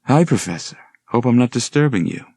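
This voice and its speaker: A man's voice: mid-range voice, animated, fairly quick, dark tone, no room sound, pitched above the performer's own voice, close to an everyday voice. A man voicing a friendly, flirtatious landlady in an audiobook, coy and casual. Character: flirtatious, friendly landlady, a seductive redheaded woman.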